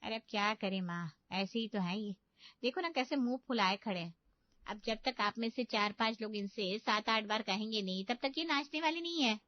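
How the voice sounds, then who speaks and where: A woman playing a young, bossy elder sister in an animated comedy story, scolding and teasing with sarcasm. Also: mid-range voice, moderate pace, dry recording, natural voice. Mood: exasperated. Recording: dull, lo-fi studio voice-over.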